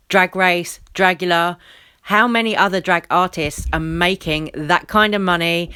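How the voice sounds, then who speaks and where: A woman's voice: on the low side, pushed and bright, clean tone. A woman hosting an opinionated commentary video, speaking in an emphatic, conversational way.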